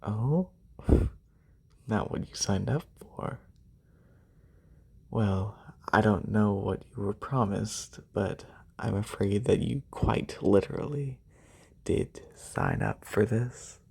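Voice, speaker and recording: A man playing a haughty, demanding lamia noble in a soft-spoken ASMR roleplay, formal and condescending, with a breathy, husky voice close to a binaural microphone. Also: on the low side, very animated, exaggerated voice. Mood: smug, dismissive. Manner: condescending, formal, drawn-out delivery. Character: haughty wealthy lamia noble, demanding serpent-bodied employer.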